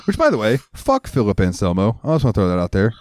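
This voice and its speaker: A man's voice: on the low side, huge pitch swings, fast, a little mellow, no echo, studio quality. A man co-hosting a podcast, telling rambling stories in casual chat and swearing freely. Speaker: podcast co-host. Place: US South, central Florida.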